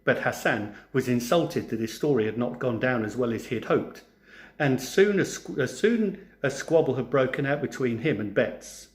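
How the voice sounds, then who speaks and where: A man narrating a storybook for children in an expressive, theatrical way, like a teacher. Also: mid-range voice, moderate pace. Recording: roomy, echoing sound.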